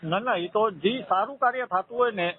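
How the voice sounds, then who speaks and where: A man's voice: high-pitched, normal speed, breathy edge. A man with a Saurashtra Gujarati accent on a recorded phone call, debating with repeated words and checks for agreement. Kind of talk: argumentative phone conversation. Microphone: telephone.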